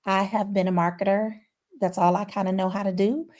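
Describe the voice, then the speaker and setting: A woman's voice: mid-range voice, flat delivery, brisk, a little mellow. A woman in her fifties from Chicago, a marketing consultant chatting candidly on a video call with self-deprecating humor. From Chicago, Illinois. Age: 50s. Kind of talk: casual panel chat.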